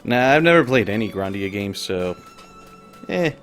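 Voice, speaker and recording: A man's voice: on the low side, very animated, moderate pace, fairly bright tone. A man giving casual, offhand live commentary as he streams a video game. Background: game music.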